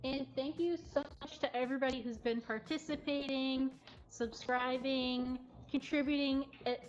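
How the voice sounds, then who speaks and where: A woman's voice: sits high, fairly even intonation, moderate pace, lo-fi sound, faint music behind. A woman hosting a live showcase, chatting casually to viewers. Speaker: cat cafe livestream host.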